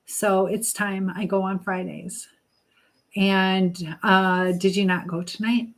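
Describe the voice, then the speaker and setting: A woman hosting a live chat, speaking earnestly and haltingly with pauses as she gives advice and encouragement to viewers. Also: mid-range voice, flat delivery. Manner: religious encouragement. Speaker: live stream host.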